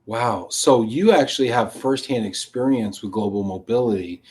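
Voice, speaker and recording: A man's voice: mid-range voice, normal speed, a little mellow. A man with an ordinary mid-range voice hosts a live stream in a chatty, effusive way. He reads out viewer comments, jokes about them, and gushes over what his guest says.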